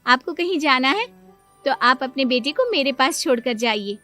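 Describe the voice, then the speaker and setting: Devoted young aunt for a family moral tale, played by a woman: high, bright voice, earnest and affectionate. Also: expressive, moderate pace, faint music behind. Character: gentle, devoted childless young wife and aunt. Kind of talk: dramatised Hindi moral story acting.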